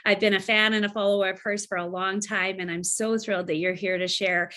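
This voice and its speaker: Woman, podcast host, gushing welcome, slow deliberate speech, bright forward tone. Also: medium pitch, level tone. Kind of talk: interview host.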